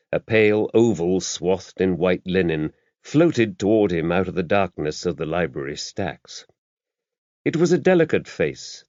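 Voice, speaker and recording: A man's voice: low voice, a little mellow, no room sound, dull audio. A man reading a mystery novel aloud as scripted audiobook narration.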